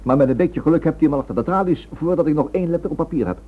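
A man playing an indignant, stubborn and excitable writer pressing accusations in a radio drama. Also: a little high, racing, breathy edge. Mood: insistent. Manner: urgent, indignant, insistent. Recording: tinny, band-limited old radio recording.

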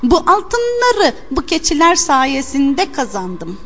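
Cheeky young folk-tale trickster female narrator acting, high, bold and declaiming, in a reverberant space. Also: huge pitch swings, takes it slowly, fairly bright tone, a little hiss or hum, heavily acted. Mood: crafty, boastful. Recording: long hall-like reverb.

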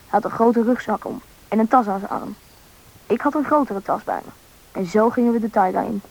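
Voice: mid-range voice, a little fast, gravelly. A performer playing a young boy who narrates his own story in a scripted radio drama. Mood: reflective. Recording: dull, band-limited radio recording.

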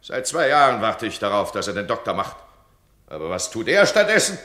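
An actor playing a domineering duke who scolds his subject in a radio play, his voice swinging between cold and loud. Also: fairly low pitch, sing-song, brisk. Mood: imperious. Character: domineering stern duke, absolute ruler. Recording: roomy radio drama studio.

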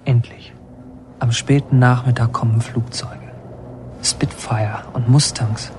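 An actor playing a reflective son who narrates a war story in a radio drama, measured and literary. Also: male voice, medium pitch, steady tone, noisy background, natural voice. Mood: observant.

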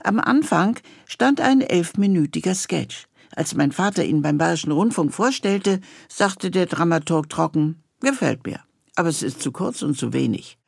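A woman's voice: fairly low pitch, creaky, slightly rough, dry recording, clean studio sound. A woman presenting a radio series with a scripted introduction and narration.

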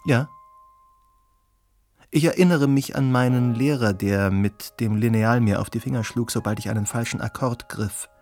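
An actor playing an eloquent, worldly and uncanny gentleman in a radio play, speaking formally and musingly in a husky voice. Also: mid-range voice, normal speed, faint music behind, natural voice. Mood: wry, musing.

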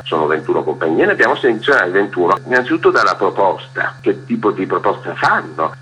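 A man with a husky, rough-edged voice, explaining things as a festival director interviewed on the radio. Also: sits low, bright, forceful tone, a little hiss or hum. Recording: dull lo-fi radio sound, music underneath. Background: music.

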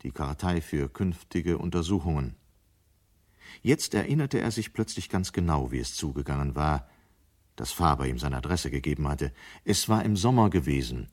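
A man's voice: a bass voice, expressive, normal speed, darkish tone. A man narrating a 1970s German radio drama as a sober storyteller.

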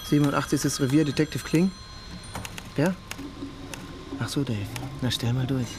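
Over clearly audible background ambience comes a man playing a young police detective in a radio crime drama. He is lovestruck and quick to get irritated, talking naturally at a middle pitch. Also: noisy background, lightly acted. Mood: irritated, brisk. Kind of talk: naturalistic German radio play dialogue.

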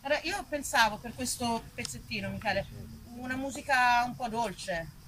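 A woman's voice: a little high, normal speed, fairly bright tone, poor audio. An Italian woman performing a live theatre monologue, chatting playfully and directly with her audience. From Italy. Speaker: stage performer.

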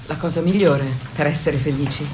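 A woman's voice: deep voice, a little fast, noisy background, lightly acted. A woman playing a playful, teasing workshop participant in a comic radio play. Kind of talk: radio theatre comedy acting.

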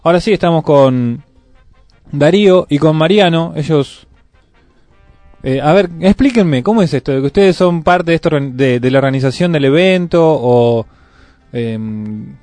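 A man's voice: on the high side, expressive, a little slow, darkish tone, no echo, soft background music. A man with a Buenos Aires area Argentine accent hosting local radio in an informal, self-correcting way. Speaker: radio host.

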